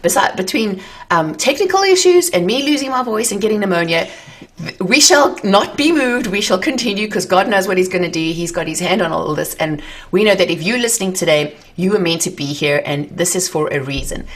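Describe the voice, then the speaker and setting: A woman's voice: medium pitch, expressive, brisk. A woman hosting an interview, speaking in an upbeat, encouraging way about faith. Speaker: host. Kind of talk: interviewing a guest.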